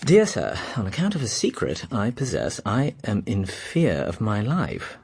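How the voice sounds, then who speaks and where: An actor playing a genial, naive gentleman, a detective's loyal companion, narrating and talking. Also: on the low side, wildly swooping pitch, a little slow, slight background noise, heavily acted. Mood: earnest. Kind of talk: radio drama narration and dialogue.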